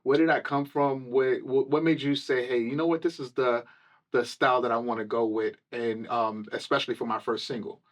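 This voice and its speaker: A man's voice: mid-range voice, steady tone, brisk. A man hosting a radio interview as DJ, friendly and affirming, often saying "okay" and "got it" while asking questions. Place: radio studio.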